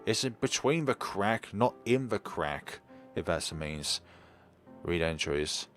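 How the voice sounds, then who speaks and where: A man with a naturally deep voice, live-streaming a game in casual chat and muttering to himself as he plays. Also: slow pace. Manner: relaxed, muttering to himself while playing. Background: faint game music.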